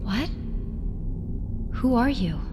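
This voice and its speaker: Frightened heroine, fairly high, urgent, pleading, audio drama with loud music behind. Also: female voice, expressive, drawn-out words. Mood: bewildered, pleading. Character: frightened, insistent young woman questioning a threat.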